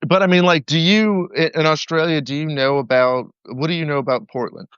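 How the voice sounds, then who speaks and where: A man's voice: fairly high pitch, fairly quick, a bit bright, smooth, no echo, highs cut off. A man from the American South, a podcast guest, talking casually and wryly with lots of fillers, over remote call audio. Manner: loose, filler-heavy, wry. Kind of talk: casual political chat.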